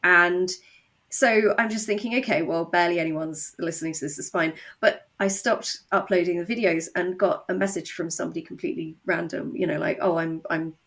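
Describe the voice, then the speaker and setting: A woman talking conversationally as an interview guest, telling anecdotes with quoted asides and a creaky edge. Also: fairly low pitch, fairly even intonation, a little fast, fairly bright tone. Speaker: guest, audio drama writer and co-creator.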